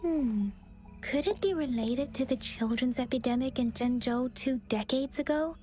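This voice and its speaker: A woman's voice: high-pitched, moderate pace, a little mellow, faint music behind, close to an everyday voice. A woman voicing an earnest, studious young investigator in a video game, explaining things thoughtfully. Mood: pondering. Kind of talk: video game character dialogue.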